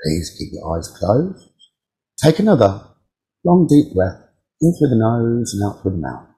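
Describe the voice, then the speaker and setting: A man leading a guided meditation as a teacher, giving calm, soothing instructions with frequent pauses. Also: fairly low pitch, low-quality recording.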